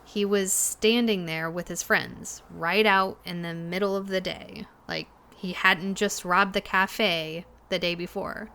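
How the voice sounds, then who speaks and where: A woman playing a shaken young cafe worker in an audio drama, talking haltingly and hesitantly, with sighs and pauses. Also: on the low side, fairly even intonation, sharp tone, heavily acted. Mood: unsettled, hesitant. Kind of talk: naturalistic audio drama dialogue.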